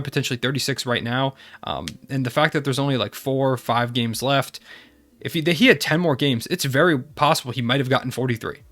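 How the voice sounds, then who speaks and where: Young male sports vlogger, quick and eager, nasal from a cold. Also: medium pitch, fairly bright tone. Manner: enthusiastic, fan-like. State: congested from a cold. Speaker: basketball channel host. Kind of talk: casual video recap.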